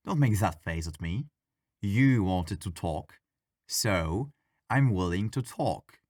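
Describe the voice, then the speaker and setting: A man playing a friendly, bored, mischievous ghost in an intimate ASMR audio roleplay, talking in a playful, wry, gently argumentative way. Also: fairly low pitch, no room sound, clean studio sound. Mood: playfully argumentative.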